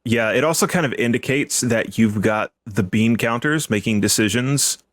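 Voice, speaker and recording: A man's voice: medium pitch, normal speed, dry recording. A man discussing technology analytically as a podcast panelist.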